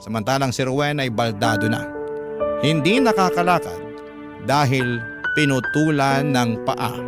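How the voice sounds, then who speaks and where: A man's voice: mid-range voice, lively intonation, normal speed. A Filipino man narrating a radio drama, telling a story in the first person as the host reading a letter. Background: loud background music.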